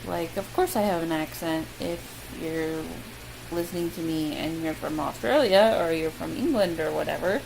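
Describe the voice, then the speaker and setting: A woman's voice: deep voice, normal speed, vocal fry. A young woman with a Midwestern American accent, chatting casually through a word list with lots of ums and self-deprecating asides. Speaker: vlogger. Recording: loud steady hiss.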